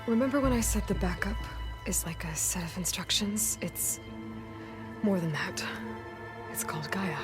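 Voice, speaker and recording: A woman playing a determined, guarded young adventurer in a video game, speaking earnestly in short, halting phrases. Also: mid-range voice, expressive, lightly acted. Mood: solemn, halting. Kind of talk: naturalistic video game voice acting. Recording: game dialogue over background music. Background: music.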